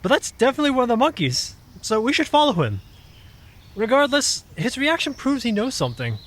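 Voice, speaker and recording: A man's voice: very high-pitched, huge pitch swings, a little fast, heavily acted. A man in an audio drama playing an earnest, protective creature who reasons on behalf of humans. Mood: deliberate.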